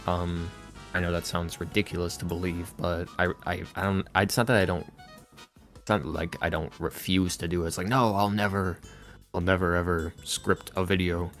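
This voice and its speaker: A man being interviewed on a casual podcast as a guest, speaking in a halting, reflective, self-deprecating way. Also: sits low, level tone, fairly bright tone, soft background music. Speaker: interview guest, ASMR roleplay creator.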